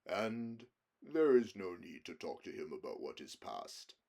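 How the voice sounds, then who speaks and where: A man voicing a majestic lion king from a storybook, speaking in a grave, measured, commanding way. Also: low-pitched, very animated, creaky, dark tone, pitched below the performer's own voice, heavily acted. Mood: calm authority.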